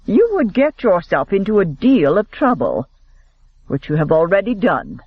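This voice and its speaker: A man playing a gruff, stern spinster chaperone who speaks in a measured, commanding way. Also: high voice, wildly swooping pitch, no room sound, phone-quality sound, full character voice.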